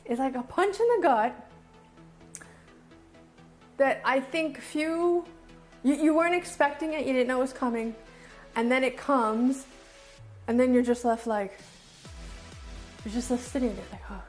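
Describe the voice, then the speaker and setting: A woman's voice: high-pitched, fairly even intonation, unhurried, long pauses, darkish tone, soft background music. A woman reviewing casually and off the cuff as a video host, enthusiastic and emphatic, often repeating phrases to drive them home. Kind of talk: casual unscripted review to camera.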